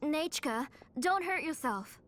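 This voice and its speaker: A woman's voice: sits high, lively intonation, slow pace, a bit bright, a little hiss or hum, full character voice. An actress voicing a worried, protective and earnest mother in a video game, with music behind her. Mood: scolding, worried. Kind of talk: English video game voice acting.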